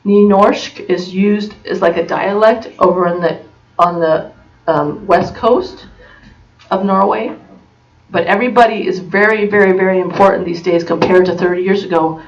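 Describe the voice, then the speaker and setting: Halting American woman, distant muffled recording. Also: medium pitch, steady tone. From United States. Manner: halting, many fillers and restarts. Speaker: presenter. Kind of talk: informal talk. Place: conference room. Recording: dull recording from far off.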